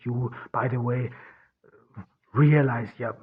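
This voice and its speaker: Husky halting man, native German speaker. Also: mid-range voice, fairly even intonation. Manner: halting, earnest, searching for words with many restarts. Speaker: podcast host. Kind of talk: personal spoken monologue. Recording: dull lo-fi recording.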